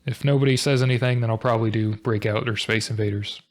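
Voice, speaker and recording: A man hosting a coding tutorial, talking through his code in a low-key, monotone way, thinking aloud with fillers. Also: fast talker. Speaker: programming tutorial host. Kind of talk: casual screen-recorded coding walkthrough.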